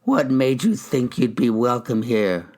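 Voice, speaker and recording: A man's voice: mid-range voice, big pitch swings, a little slow, a little mellow, pitched above the performer's own voice. A man voicing a frail, grieving old widower in an audiobook story, with a thin, quivering voice and an accusing, indignant manner. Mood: hostile.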